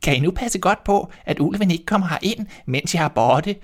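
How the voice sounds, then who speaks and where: A man voicing a caring old mother goat in a read-aloud fairy tale, warning her kids in a soft, high voice. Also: lively intonation.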